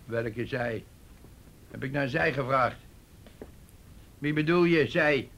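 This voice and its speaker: A gruff, bullying police detective, bright-voiced. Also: male voice, medium pitch, slow pace, slight background noise. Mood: gruff, sarcastic. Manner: sarcastic, barking, domineering. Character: gruff, bullying, contemptuous male police detective with crude tongue. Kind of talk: radio drama acting.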